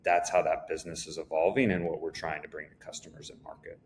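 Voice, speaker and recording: Very deep-voiced man over background noise. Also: level tone, fast talker, creaky. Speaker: interview guest, company executive. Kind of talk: conversational business interview.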